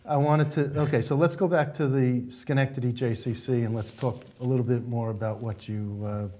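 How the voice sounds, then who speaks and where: A male talk show host asking curious, quick questions of his guests. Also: medium pitch, fairly even intonation, warm tone.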